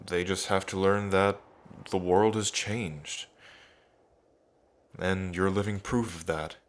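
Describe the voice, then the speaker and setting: A cocky young dragon king, acted by a man, speaks in a low, slow voice. He is casual and playful, teasing the listener fondly in an intimate fantasy ASMR roleplay. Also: fairly bright tone, full character voice. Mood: nervous, wry.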